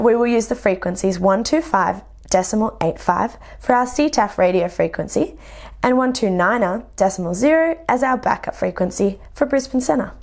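A woman's voice: mid-range voice, a little mellow. A young woman with an Australian accent, a flight instructor, delivers scripted instructional narration in a methodical, explanatory way. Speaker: flight instructor presenting a lesson.

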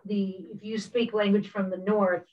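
A woman's voice: mid-range voice, level tone, normal speed. A woman with a Spanish-speaking accent sharing thoughts in a video-call discussion, reflective and hesitant, with many "you know"s. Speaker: workshop participant. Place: home office. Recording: muffled, distant webcam audio.

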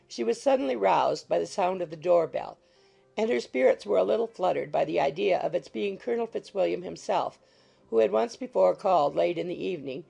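Very creaky, husky female audiobook narrator. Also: lowish voice, dark tone, muffled recording. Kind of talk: scripted literary narration.

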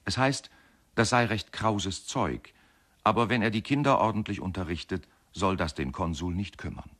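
Male radio narrator, very low voice, solemn and measured reading of lyrical prose. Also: fairly bright tone. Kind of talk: scripted literary narration.

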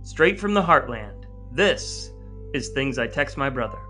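A man's voice: medium pitch, expressive, measured pace, over music. A middle-aged American man hosting a podcast, speaking in a scripted, narrating style. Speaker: podcast host.

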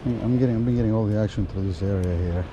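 A man's voice: fairly low pitch, quick pace. A man giving casual, slangy running commentary to viewers while fishing outdoors, recorded on a body-worn action camera. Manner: informal, slangy, addressing viewers as guys. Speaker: fishing vlogger. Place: outdoors on an ocean fishing pier. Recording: loud wind noise on action camera outdoors. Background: loud wind and sea ambience.

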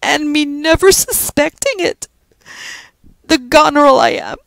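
A woman puts on an old Irish housekeeper with a lilting brogue, fussing over the children with tender scolding. The voice rides far higher than the reader's own, with a gravelly edge, and sits close and dry on the mic. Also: a little slow, no echo. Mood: wailing remorse. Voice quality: lilting dialect speech.

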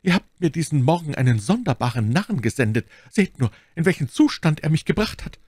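A man's voice: a little high, big pitch swings, a little fast, a little mellow, breathy edge, no echo, pitched above the performer's own voice. A man voicing a wounded, dying English duke in an audiobook, imperious and pleading, with a faint, strained voice. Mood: gracious, weak. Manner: exclamatory, pleading, impatient. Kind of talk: dramatic audiobook character reading.

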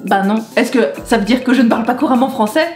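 A woman in her twenties vlogging to camera in a chatty, rambling, animated way, gesturing as she talks. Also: on the high side, fast talker. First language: French. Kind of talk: casual chat to camera. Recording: echoey room with faint music.

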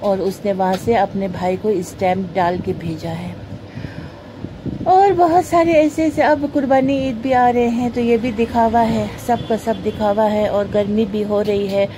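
A woman's voice: mid-range voice, fairly even intonation, unhurried, noisy background. A woman vlogger, giving an earnest, preachy monologue in a carpeted room at home. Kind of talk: moralizing monologue to camera. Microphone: phone camera pointed at the floor.

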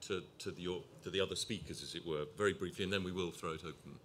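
Deep-voiced man chairing a panel. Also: steady tone, fast, fairly bright tone, slight background noise. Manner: hesitant, many restarts, thoughtful. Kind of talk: moderating a panel discussion.

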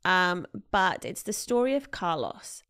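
A woman's voice: fairly low pitch, big pitch swings, unhurried, a bit bright, clean tone. A woman, co-hosting a casual podcast chat in a small studio, talking into a studio mic and often laughing as she explains. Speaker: podcast co-host. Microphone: studio mic with headphones.

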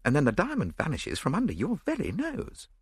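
A man voicing an earnest, impatient gentleman sidekick in an audiobook, urgent and politely tactless. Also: a little high, huge pitch swings, fairly quick, breathy edge, no room sound, studio quality, pitched above the performer's own voice, full character voice. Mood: awkward, reproachful.

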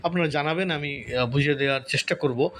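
Male tutorial host, casual explaining, slightly high voice, frequent tag questions, light noise behind. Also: quick pace, fairly bright tone. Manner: conversational, repetitive, seeks agreement with tag questions. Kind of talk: casual step-by-step tutorial talk.

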